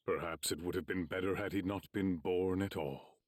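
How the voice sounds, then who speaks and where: A man's voice: deep voice, fairly even intonation, moderate pace. A man playing a solemn, pitying giant warrior monk in an anime game, grave and lamenting. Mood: sorrowful pity. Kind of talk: English anime game voice acting.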